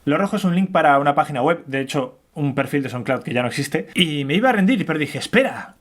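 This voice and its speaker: Higher-pitched Spanish man giving an informal tutorial. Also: expressive, fast. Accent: Spain Spanish. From Spain. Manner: colloquial, chatty, conversational asides. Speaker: scratching tutorial presenter.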